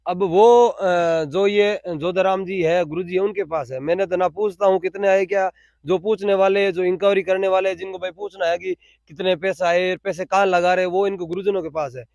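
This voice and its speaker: A man's voice: high voice, steady tone, normal speed, darkish tone, dry recording, highs cut off. A man with a Rajasthani accent explaining things in spontaneous interview answers, repeating phrases for emphasis. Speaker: interviewee, cow shelter volunteer.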